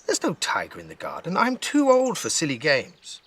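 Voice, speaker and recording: A man voicing a stubborn, sceptical little girl in a storybook, with an emphatic, expressive manner. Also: fairly high pitch, normal speed, slightly rough, pitched above the performer's own voice, exaggerated voice. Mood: bored, sceptical.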